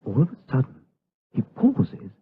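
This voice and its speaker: A man playing a solemn, rapt narrator in a gothic audio drama, speaking in a grave, measured, ornate way. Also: medium pitch, wildly swooping pitch, slight background noise. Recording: muffled narrow-band sound with music behind.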